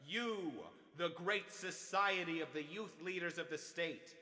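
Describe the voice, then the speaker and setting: An actor playing a zealous youth leader giving a declamatory, fervent speech at a mass rally in a radio drama. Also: male voice, high-pitched, sharp tone. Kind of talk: old-time radio drama oratory. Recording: long hall reverb on the voice.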